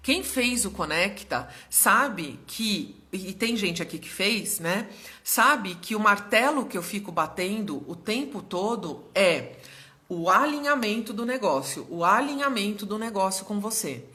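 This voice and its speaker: Bright-voiced woman, slightly hoarse. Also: mid-range voice, animated, unhurried. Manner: chatty, explanatory, checks in with viewers often. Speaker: business mentor hosting a live stream. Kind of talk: casual live-stream explanation to viewers.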